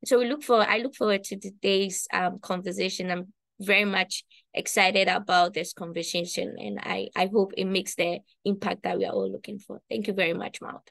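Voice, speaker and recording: A woman's voice: medium pitch, steady tone, moderate pace, gravelly, fairly bright tone, no echo. A woman in her thirties giving earnest panel remarks over a video call. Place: indoor room. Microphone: video call webcam.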